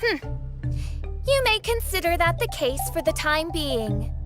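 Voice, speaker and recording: A woman's voice: very high-pitched, big pitch swings, unhurried, exaggerated voice. A woman voicing a haughty young princess in an anime game, with pompous, archaic, theatrical declamation over music. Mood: lofty. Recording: music behind the voice.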